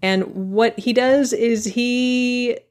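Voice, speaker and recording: A woman's voice: on the high side, fairly even intonation, drawn-out words, darkish tone. A woman hosting a podcast, talking in a casual, enthusiastic way and laughing often. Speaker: podcast host.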